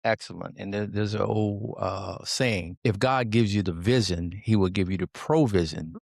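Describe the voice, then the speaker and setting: A man's voice: fairly low pitch, moderate pace, creaky, darkish tone, dry recording, clean studio sound. A man hosting an interview podcast, upbeat and encouraging, laughing along with his guest. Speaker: podcast host.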